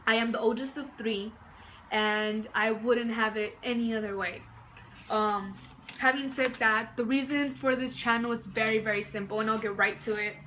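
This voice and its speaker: A woman's voice: fairly high pitch, steady tone, normal speed, pushed and bright, slight background noise. A woman in her early twenties, raised in Southern California and born in Mexico, talking enthusiastically to camera in a rambling way, with many fillers and restarts, from indoors at home. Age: early 20s. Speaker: vlogger. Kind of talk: casual talk to camera.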